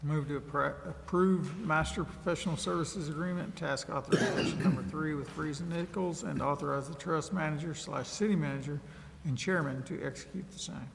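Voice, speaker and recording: A man's voice: fairly high pitch, a little fast, vocal fry. A man on a council speaking formally and making motions in a meeting. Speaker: council member. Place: indoor council chamber. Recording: reverberant chamber, faint noise.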